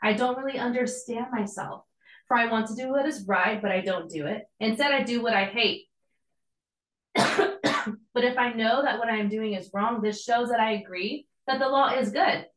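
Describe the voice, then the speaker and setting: A young woman giving a casual, encouraging devotional sermon, her voice a little hoarse as she recovers. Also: on the high side, moderate pace, roomy sound, poor audio. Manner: encouraging, conversational preaching with casual asides.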